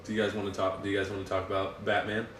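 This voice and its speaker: A man's voice: fairly low pitch, level tone, racing, a bit bright, recorded from a distance, a little hiss or hum. A young man co-hosting a casual podcast, teasing his friends with questions and laughing easily. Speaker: podcast co-host. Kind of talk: casual chat among friends. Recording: echoey room, a bit off mic.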